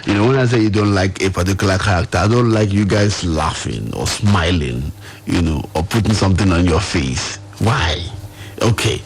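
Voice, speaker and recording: A Nigerian man hosting a live radio talk show from a studio, speaking conversationally and putting questions straight to his listeners. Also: low voice. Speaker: radio host.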